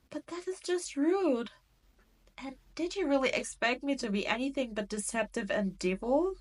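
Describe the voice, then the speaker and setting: A woman's voice: a little high, full character voice. A woman playing a cruel, arrogant demon queen in a roleplay audio drama, teasing and condescending as she speaks straight to the listener. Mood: scolding. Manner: teasing, condescending, drawn-out delivery. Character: cruel, arrogant adult female demon queen, mocking and possessive.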